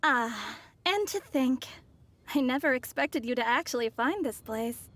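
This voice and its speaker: An actress voicing a poised, mysterious woman from abroad in a video game, speaking in a smooth and teasing way. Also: high voice, animated, a little slow, fairly bright tone, a little hiss or hum. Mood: sly.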